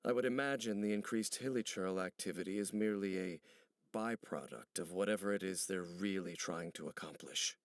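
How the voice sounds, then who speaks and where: An actor playing a solemn, brooding ancient warrior in a video game, speaking gravely and formally, embittered by old betrayal. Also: male voice, deep voice, no room sound, studio quality. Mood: grave, wary. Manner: grave, formal, measured speech. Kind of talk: video game character dialogue. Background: background music.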